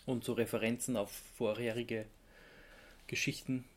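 A man with an Austrian accent explaining things in a casual podcast chat as a host, often halting and searching for words. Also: mid-range voice, level tone, a little hiss or hum.

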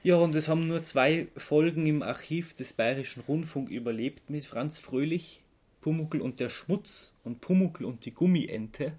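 A man with an Austrian accent co-hosting a podcast, explaining things casually in a somewhat halting way. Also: a little high, a little mellow. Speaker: podcast co-host.

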